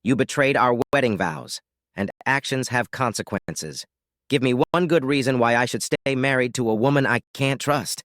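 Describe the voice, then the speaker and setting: A man's voice: medium pitch, normal speed, a bit bright, no room sound, clean studio sound, close to an everyday voice. A man playing an angry, betrayed husband, confronting and accusing with sarcastic force. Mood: bitter.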